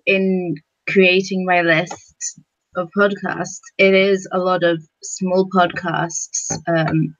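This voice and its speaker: A woman speaking casually and haltingly on a podcast panel, feeling her way through her thoughts. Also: fairly low pitch, flat delivery, dry recording, rough recording.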